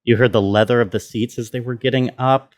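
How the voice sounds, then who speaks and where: Guy doing a laid-back sound design walkthrough, regular pitch, explains stuff and checks you're following. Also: steady tone, brisk. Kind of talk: casual tutorial walkthrough.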